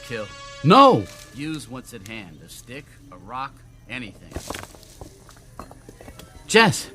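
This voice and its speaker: An actor playing a tormented young man fighting a dark urge, tense and intense, in a radio drama. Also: medium pitch, very animated, slow pace, full character voice. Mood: desperate, panicked. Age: young adult. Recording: music behind the voice.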